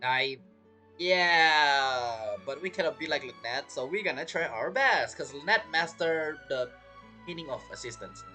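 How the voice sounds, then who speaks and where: A man giving casual gameplay commentary as a streamer, in a halting, rambling, self-interrupting way. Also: a little high, animated, bright, forceful tone, faint music behind. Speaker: game streamer.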